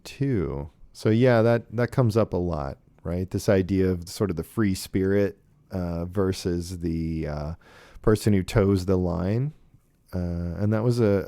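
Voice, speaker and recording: A man's voice: lowish voice, dark tone, no room sound. A man hosting a casual podcast discussion, thinking aloud with hesitations and often checking in with "right". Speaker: podcast host. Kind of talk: casual podcast discussion of old radio shows.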